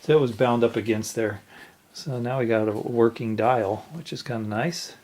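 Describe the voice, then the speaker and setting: A man casually demonstrating old equipment hands-on, explaining in a halting, rambling way. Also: medium pitch, a little mellow. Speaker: reseller demonstrating a vintage tube radio.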